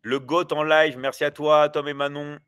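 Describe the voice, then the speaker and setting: A man's voice: fairly high pitch, level tone, moderate pace, a bit bright, smooth. A man in his forties hosting a casual livestream, chatting loosely with viewers and rambling with many hesitations and restarts. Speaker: livestream host.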